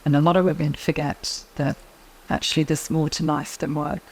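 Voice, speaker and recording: A middle-aged woman speaks quietly and evenly as an interview guest. She gives advice in a calm, measured way. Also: deep voice, husky, rough recording. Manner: soft-spoken, measured, advice-giving. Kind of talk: interview conversation.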